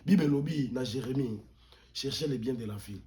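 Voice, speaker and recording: A man's voice: medium pitch, brisk, mellow, a little hiss or hum. A Congolese man, a pastor, giving impassioned political commentary as an interview guest, emphatic and indignant, repeating words for stress and switching languages mid-sentence. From Democratic Republic of the Congo.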